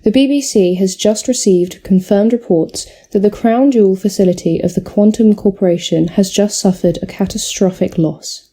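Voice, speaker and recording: A woman acting as a grave female news anchor in a game, with a very dark, muted tone and a husky edge. The delivery is formal and measured, reading scripted breaking news at a middle pitch. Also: natural voice.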